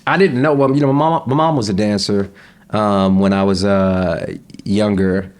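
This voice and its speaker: A young man chatting casually as a podcast guest, relaxed, with frequent laughs and fillers. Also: lowish voice, normal speed, smooth. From United States.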